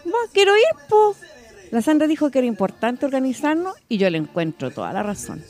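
An actress plays a working-class Chilean mother in a radio drama, newly political and determined. Her voice is high and swings in lively fashion. She talks colloquially, earnest and insistent. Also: fairly quick, soft background music.

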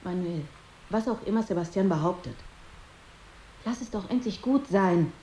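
A woman's voice: medium pitch, animated, moderate pace, dark tone, reverberant, a little hiss or hum, lightly acted, low-quality recording. An actress in a German radio drama playing a weary, hurt wife who reproaches and pleads with her husband, slipping into Spanish.

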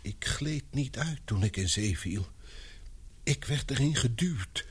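A man's voice: mid-range voice, lively intonation, slow, husky, full character voice. A man acting a grave, weary old professor in a radio play, speaking insistently. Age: older. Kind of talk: vintage Dutch radio drama acting.

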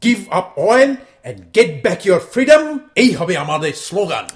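Fired-up middle-aged dad voiced by a man, high voice, boastful, theatrical. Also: very animated, takes it slowly, heavily acted. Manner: boastful, theatrical, mixing in English phrases. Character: fired-up middle-aged father with grand protest plans. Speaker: father in a radio play. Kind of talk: audio play acting.

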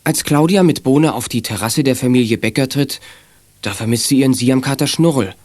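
A man's voice: medium pitch, brisk, darkish tone, dry recording, close to an everyday voice. A man narrating a children's detective radio play in scripted storytelling style. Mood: matter-of-fact.

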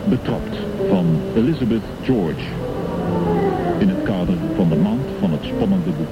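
A man's voice: lowish voice, sing-song, a little slow, over loud music. A man reading scripted narration and credits for a radio drama, as narrator and announcer. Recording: dull sound with loud background noise.

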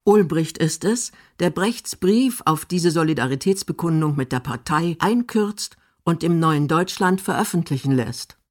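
A woman's voice: sits low, animated, a little slow, hardly any pauses, a little mellow. A woman from Germany, reading scripted documentary narration.

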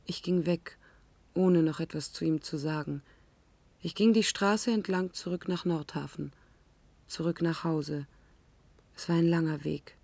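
An actress in a radio drama playing a shaken, grieving woman who tells her memories in a halting, hushed, weighty way. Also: sits low, vocal fry, dry recording, heavily acted. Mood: numb, somber. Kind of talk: radio drama acting, first-person narration.

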